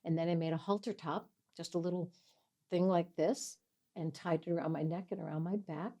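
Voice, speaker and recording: A woman in her seventies hosting a casual interview, chatty and animated as she tells stories and laughs often. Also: lowish voice, a little fast. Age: 70s. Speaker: host, mental health coach.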